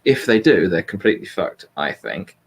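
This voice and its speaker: A man's voice: low voice, big pitch swings, moderate pace. Blunt British man chatting casually. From Britain. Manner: blunt, sweary, self-deprecating. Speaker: host. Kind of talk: casual political chat.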